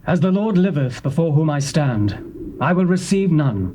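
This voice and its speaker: An English actor playing a stern, righteous older prophet, speaking in a grave, measured way. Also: male voice, a little high, sing-song, mellow, a little hiss or hum. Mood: solemn.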